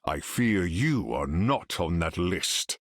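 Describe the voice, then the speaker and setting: Proud warrior god, slow, sweeping intonation, declamatory, archaic, noble, video game hero. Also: male voice, low-pitched, very animated, dry recording, studio quality, heavily acted. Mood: solemn. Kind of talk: video game character acting.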